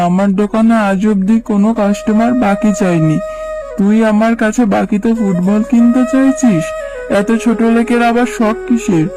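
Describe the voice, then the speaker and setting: A woman's voice: medium pitch, close to an everyday voice. A woman voicing a haughty, scornful tiger shopkeeper in a cartoon, scolding with contempt. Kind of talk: cartoon dubbing.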